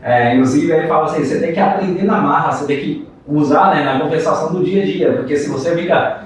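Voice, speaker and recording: A man's voice: a little high, fast talker, a little hiss or hum. Chinese-born man, echoey distant podcast. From China. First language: Mandarin Chinese. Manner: frequent "né" tags, hesitant restarts. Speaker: podcast co-host. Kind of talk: casual podcast chat. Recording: echoey room, off-mic.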